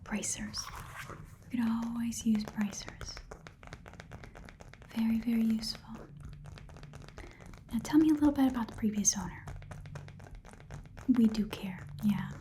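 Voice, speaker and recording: A woman voicing a chatty, haggling goblin pawn shop keeper in a breathy whispered ASMR roleplay, with casual muttered asides. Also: fairly high pitch, steady tone, measured pace, pauses often, dark tone, a little hiss or hum, natural voice. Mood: appraising, doubtful.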